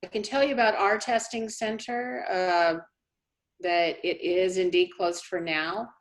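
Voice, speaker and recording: A woman speaking as a college dean, answering questions in a measured, reassuring, explanatory way on a video call. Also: medium pitch, fairly even intonation, poor audio. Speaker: college dean hosting a town hall. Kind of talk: informal question-and-answer briefing. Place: remote online meeting.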